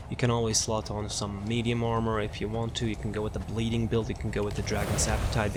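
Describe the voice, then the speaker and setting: A man's voice: on the low side, gravelly, noisy background. A man giving casual, off-the-cuff video game commentary as he presents a build guide, rambling and repeating his phrases. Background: music.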